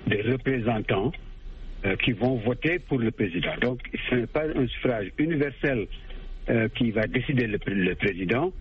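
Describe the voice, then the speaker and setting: A man of middle pitch talks through a dull, muffled line, sounding lo-fi. He explains things patiently as a radio guest, pausing often to hesitate and restart his sentences. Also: lively intonation. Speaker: political analyst guest.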